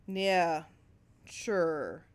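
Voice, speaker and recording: A woman voicing an unperturbed, watchful man in a short story, drawling and musing in a measured way. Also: lowish voice, expressive. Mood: quietly intent. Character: unperturbed, watchful male film man, musing over a memory.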